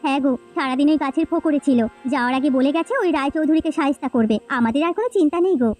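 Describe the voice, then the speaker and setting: A woman plays a kindly, concerned village housewife in a cartoon folktale. Her voice is extremely high and quick, with earnest, expressive delivery. Light music runs underneath. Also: natural voice. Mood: earnest, hopeful. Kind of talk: Bengali cartoon folktale voice acting.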